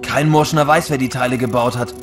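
A man's voice: medium pitch, steady tone, a little fast, over music, natural voice. An actor playing a sceptical, sarcastic spaceship crewman in a science fiction audio drama, cautious and needling. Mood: eager.

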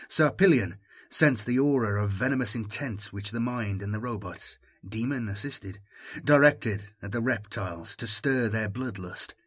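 A man with a husky voice giving a dramatic scripted narration of an audiobook story. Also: lowish voice, moderate pace, a bit bright.

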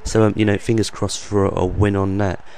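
Young man, croaky deep voice, flat monotone delivery, rambling with ums, faint background hiss. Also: moderate pace. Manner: rambling, hesitant, many ums and uhs. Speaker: gaming channel host. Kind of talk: off-the-cuff voice-over commentary.

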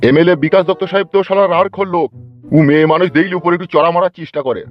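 A man's voice: high voice, steady tone, very fast, lightly acted. A man voicing a gossiping, quarrelsome village man in a comedy cartoon, with a teasing, argumentative, slangy delivery. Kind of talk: Bangla comedy cartoon dialogue. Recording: dull lo-fi sound over music.

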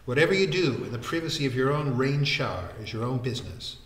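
A man's voice: medium pitch, expressive, fast talker, a bit bright, reverberant, close to an everyday voice. A man voicing a witty, teasing young woman in an audiobook, wry and breezy. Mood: wry amusement.

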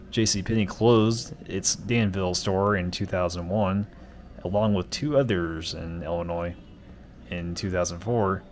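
A man reading a mall's history aloud and making quiet offhand remarks while walking through a large, empty indoor mall. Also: on the low side, a little hiss or hum. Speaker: mall explorer vlogger.

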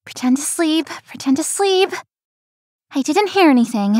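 A woman's voice: sits high, expressive, moderate pace, a little mellow, no room sound, studio quality. A woman voicing a young, friendly but world-weary florist in an anime-style romance game. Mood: inward, anxious. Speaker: voice actor in a visual novel. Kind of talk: scripted anime-style game dialogue and inner monologue.

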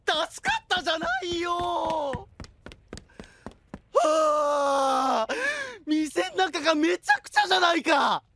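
An indignant shopkeeper, pushy and scolding. The voice is extremely high and sharp, drawing words out slowly with big swings, in audio drama style. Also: male voice, creaky, a little hiss or hum, heavily acted. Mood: outraged. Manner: scolding, exclaiming. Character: indignant, pushy shopkeeper demanding payment for damage. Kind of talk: Japanese audio drama acting.

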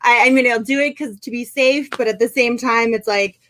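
A woman's voice: fairly high pitch, fairly even intonation, fairly quick, fairly bright tone, slight background noise. A woman in her thirties co-hosting a sports talk show over a video call, in a casual style. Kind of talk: casual chat and sports report.

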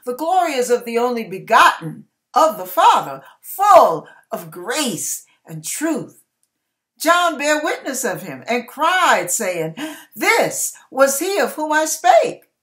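A woman in her sixties reading scripture aloud in a deliberate, emphatic, expressive style, acting out the dialogue. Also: high voice. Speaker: online ministry host reading scripture aloud. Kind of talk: expressive, dramatic Bible reading in King James English. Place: small curtained room indoors. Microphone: webcam at desk distance.

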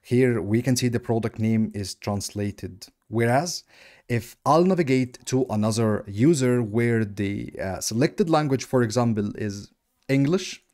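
A man whose first language is Arabic, narrating a step-by-step software tutorial in a steady, explanatory way. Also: mid-range voice, measured pace. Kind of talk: screen-recorded software walkthrough.

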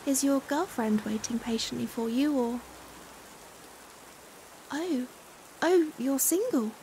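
A British woman playing a caring, fussing young stranger in a chatty, expressive ASMR roleplay. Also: sits high, takes it slowly, heavily acted. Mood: coaxing, flustered. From United Kingdom. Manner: chatty, expressive, giggly hesitations. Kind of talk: acted ASMR roleplay, conversational. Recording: hiss and steady rain sound. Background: rain falling.

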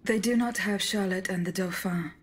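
A woman playing a composed, accusing agent in a video game, speaking in a measured, formal and blunt way. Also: mid-range voice, slightly rough, natural voice. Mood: measured, matter-of-fact. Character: composed, accusing female agent confronting a general.

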